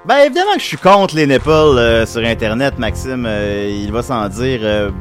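A man's voice: medium pitch, moderate pace, music in the background. A man with a Québécois accent co-hosting a comedy show, laughing often and full of fillers. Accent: Québécois French. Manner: laughing, animated, hesitant. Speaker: co-host.

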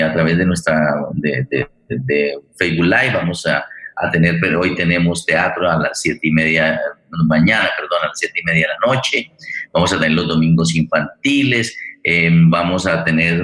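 A Colombian man hosting an online forum, speaking off the cuff and haltingly, often repeating and rephrasing his words. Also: low-pitched, a bit bright. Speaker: host of an online radio theatre forum. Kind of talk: spontaneous closing remarks and thanks. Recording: roomy, echoey sound.

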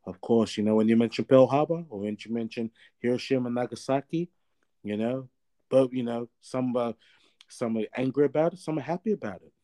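A man's voice: medium pitch, fairly quick, dark tone. A man in his thirties from Australia, a guest in a casual interview, talking in a rambling way with many fillers and restarts. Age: 30s.

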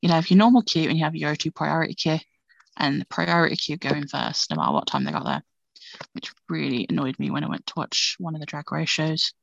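Woman in her 30s rambling on a podcast, lowish gravelly voice, dull dry lo-fi sound. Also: lively intonation, a little fast, no echo. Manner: chatty, says "like" a lot, laughs often. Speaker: podcast co-host. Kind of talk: casual chat.

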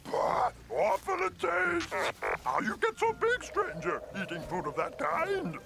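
Tiny elderly alien, mischievous and nosy, wildly swooping pitch, creaky, inverted phrasing, radio drama, noisy background. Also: male voice, huge pitch swings, unhurried, exaggerated voice. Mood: scornful, mischievous. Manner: inverted word order, croaky grunts and hums. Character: small old green alien creature, mischievous and nosy.

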